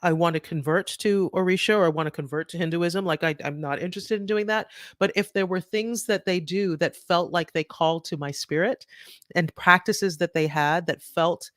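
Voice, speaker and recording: A woman's voice: fairly low pitch, normal speed, no echo. Trinidad-born woman author, dry close sound. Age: middle-aged. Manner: animated, many restarts and "right?" tags. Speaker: author guest. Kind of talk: conversational interview.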